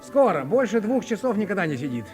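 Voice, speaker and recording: A man's voice: high-pitched, sing-song, fast talker, over music. An actor playing a fawning, timid minor clerk in a 1950s Russian radio play, fussy and coaxing with his companions. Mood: hungry, chatty. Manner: fussy, coaxing, hushed when cowed. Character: fawning, timid male minor clerk hosting hungry colleagues.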